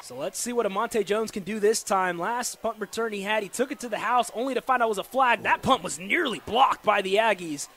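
An American man calling live football play-by-play on the radio with excitable, dramatic delivery. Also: high-pitched, brisk, few pauses. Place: football stadium during a live game. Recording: noisy live stadium broadcast.

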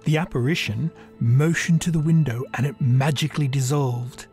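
A man narrating a literary story as a scripted reading, measured and deliberate. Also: mid-range voice, a little mellow. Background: music.

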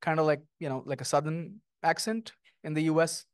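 Middle-aged male author, reflective and poetic, slightly high voice, handheld mic, dry. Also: brisk, clear, resonant tone, no echo. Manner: thoughtful, lyrical, with frequent ums. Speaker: guest author. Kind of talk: onstage author conversation. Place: small stage before an audience, indoors.

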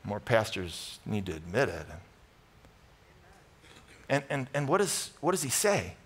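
A middle-aged American man preaching a conversational sermon, emphatic and animated, moving between loud bursts and hushed asides. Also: lowish voice, a little slow, slightly rough. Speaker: pastor preaching. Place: large church auditorium stage with audience.

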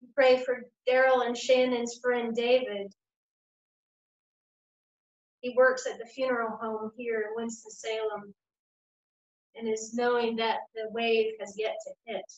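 A woman leading a congregation through liturgical prayers in a measured, steady reading voice, standing at a lectern in a small room at home and streamed over a webcam. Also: a little high. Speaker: church worship leader. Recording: echoey room, dull lo-fi sound.

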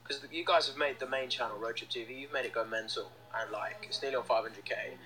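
A man's voice: mid-range voice, fast, pushed and bright, low-quality recording. A young man chatting casually on a phone livestream in a small indoor room, upbeat and reading out fan comments. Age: young adult. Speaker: band member hosting a livestream. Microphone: phone held close to face.

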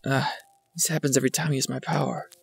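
A man's voice: medium pitch, level tone, fast, gravelly, roomy sound. A man playing a curt, guarded young man in a fan dub, blunt and dry. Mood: guarded, brooding.

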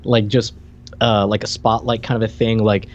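A man in his thirties co-hosting a podcast, discussing movies casually in a conversational, halting way. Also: lowish voice, little pitch movement. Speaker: podcast co-host. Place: indoor room, video call. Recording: dry, slightly dull sound.

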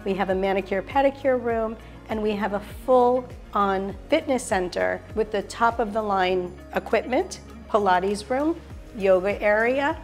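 Middle-aged woman, sales tour host, mid-pitched, upbeat and polished, faint music bed. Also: a little slow. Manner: enthusiastic, salesy, lists features. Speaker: sales director giving a property tour. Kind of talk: polished real estate showcase tour. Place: show apartment kitchen, indoors.

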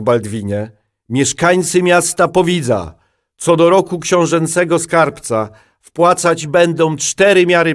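Man acting a medieval duke, slightly high-pitched, solemn, stately, formal proclamation, audio drama.

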